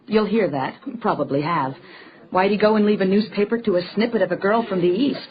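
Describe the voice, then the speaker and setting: Forthright actress as witty young newspaper editor. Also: female voice, medium pitch, fairly quick, lo-fi sound, close to an everyday voice. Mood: brisk, teasing. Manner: forthright, wry, clipped banter. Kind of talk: period radio drama dialogue.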